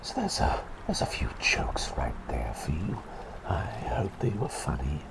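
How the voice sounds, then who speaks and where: A gentle, bumbling bird played by a man whispers in a very low, creaky voice for an ASMR roleplay. The delivery swoops up and down widely, hesitant and polite, with phrases softly repeated. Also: wildly swooping pitch, unhurried, heavily acted, low-quality recording. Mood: eager, gently awkward. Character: gentle, bumbling talking bird doctor, kindly and silly.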